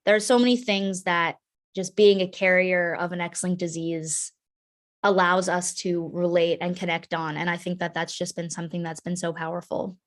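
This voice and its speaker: Young adult woman, slightly low voice, earnest conversational webinar speaker. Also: level tone, moderate pace. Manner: earnest, conversational, frequent fillers. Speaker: carrier advocate, organization founder. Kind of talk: webinar talk.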